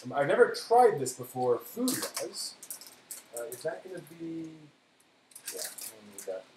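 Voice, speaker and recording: A man's voice: fairly high pitch, very animated, unhurried, a little mellow, slight background noise, rough recording. A man hosting a live stream, talking casually to viewers in a rambling, explanatory way. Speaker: host of an anime live stream. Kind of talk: casual review and demonstration to viewers.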